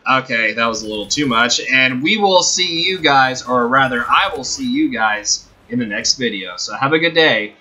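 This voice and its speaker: A man's voice: mid-range voice, lively intonation, moderate pace, few pauses, pushed and bright, low-quality recording. A man hosting a gaming channel, chatting and commenting casually. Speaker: host of a gaming video channel.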